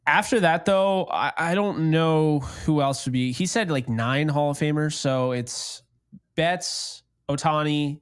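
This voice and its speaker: A man's voice: a little high, a little slow, clean tone, no echo, studio quality. Casual young man talking sports. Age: late 20s. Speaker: sports show co-host.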